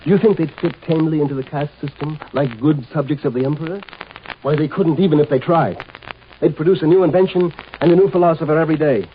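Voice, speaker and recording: A man's voice: a little high, fast talker, narrow, tinny audio, natural voice. A man playing a blunt, sarcastic military marshal of a galactic empire in an American 1950s radio drama. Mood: forceful. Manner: blunt, sardonic, commanding. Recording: scratchy, noisy 1950s radio broadcast.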